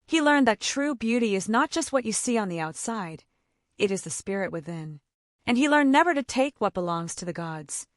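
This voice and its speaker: A woman storyteller narrating a scripted folktale in a theatrical, suspenseful manner with short measured phrases. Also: medium pitch, expressive, no echo, clean studio sound.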